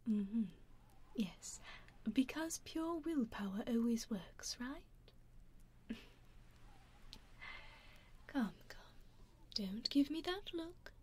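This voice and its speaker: A woman with a British accent voicing a teasing aristocratic female vampire in an ASMR roleplay, whispering softly and slyly into a binaural mic. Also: on the high side, animated, extremely slow, long pauses, slight background noise. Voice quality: soft whisper, sly and mocking.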